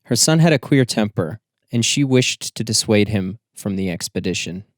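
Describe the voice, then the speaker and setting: A man narrating a literary story for an audiobook in a measured storytelling manner. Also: lowish voice, expressive, no room sound, clean studio sound.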